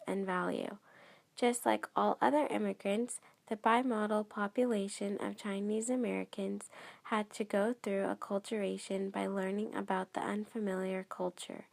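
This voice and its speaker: Woman narrator, measured read, some vocal fry. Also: mid-range voice. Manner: steady, measured reading. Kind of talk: scripted documentary narration.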